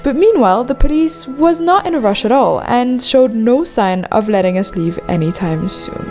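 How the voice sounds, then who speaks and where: A woman's voice: on the high side, expressive, normal speed. A woman narrating a travel vlog in a voice-over, with a wry, sarcastic edge. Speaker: travel vlogger. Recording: music bed under voice.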